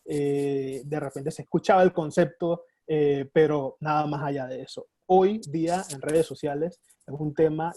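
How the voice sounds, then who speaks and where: A man's voice: on the high side, dark tone, husky. A man hosting an interview, courteously asking his guest questions and addressing him as doctor. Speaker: interview host.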